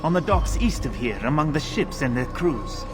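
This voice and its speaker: An actor playing a courteous, helpful assassin bureau chief in a video game, with formal, polite delivery. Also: male voice, a little high, very animated, moderate pace, music in the background. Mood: businesslike. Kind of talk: scripted game cutscene acting.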